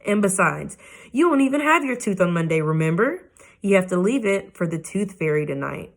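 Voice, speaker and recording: A firm, disapproving storybook mother , voiced by a woman reading aloud, who leans hard on key words for emphasis. The voice sits fairly low for a woman. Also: sing-song, normal speed. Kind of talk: children's book read aloud.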